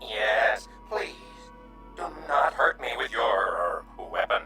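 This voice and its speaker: A man's voice: fairly low pitch, very animated, slow, roomy sound, music in the background. A man playing a timid, courteous alien refugee in a radio drama, speaking in stilted, formal, hesitant phrases. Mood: fearful, pleading.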